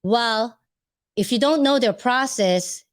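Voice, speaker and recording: A woman's voice: a little high, slow pace. A woman with a Filipino accent talking casually to her viewers, rambling and stopping often to search for the right words. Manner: chatty, laughs easily. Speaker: vlogger giving advice. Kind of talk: casual talk to camera.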